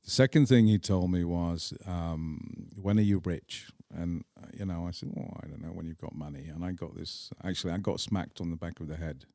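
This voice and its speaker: A middle-aged man with an English accent telling personal stories as an interview guest, deliberate and emphatic, pausing between phrases. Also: low-pitched, steady tone, vocal fry, warm tone, no room sound, clean studio sound. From England. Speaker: podcast guest. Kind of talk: reflective personal storytelling in an interview.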